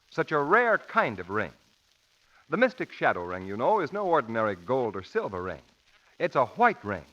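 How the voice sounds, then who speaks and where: A man working as a radio announcer, delivering a dramatic 1940s advertising pitch. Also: a bit bright. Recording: muffled old 1940s radio recording.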